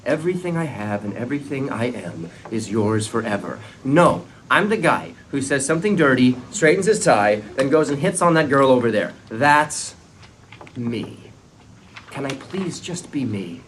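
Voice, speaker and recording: A man reading the part of a smug, showy womanizer at a script table read, with playful line delivery. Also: moderate pace. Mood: wistful. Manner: lively, playful line reading with sudden high yelps. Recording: noisy room recording.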